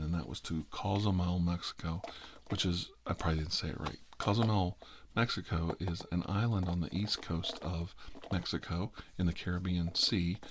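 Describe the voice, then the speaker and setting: A man's voice: deep voice, slight background noise. A middle-aged man telling a personal story in a casual, rambling way. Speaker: video storyteller.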